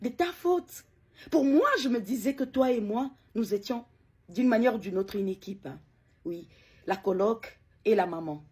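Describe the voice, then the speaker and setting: A middle-aged woman speaks with a husky, somewhat breathy voice that sits a little high. She talks haltingly, pausing often and repeating words as she gives an emotional personal account as a bereaved mother. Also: expressive, warm tone. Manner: hesitant, many pauses and repeated words.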